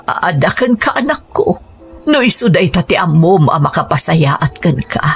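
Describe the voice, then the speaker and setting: An actress playing a gentle, patient mother and wife in a radio drama, speaking with a Filipino accent. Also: lowish voice, big pitch swings, normal speed, breathy edge, exaggerated voice. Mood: tender, sorrowful. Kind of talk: radio drama acting in domestic scenes. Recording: music bed behind radio drama dialogue.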